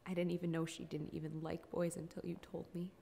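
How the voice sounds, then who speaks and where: A woman's voice: lowish voice, little pitch movement, fast, creaky, mellow, slight background noise. A woman performing a dramatic stage monologue as a grieving, regretful older sister, blunt and defensive.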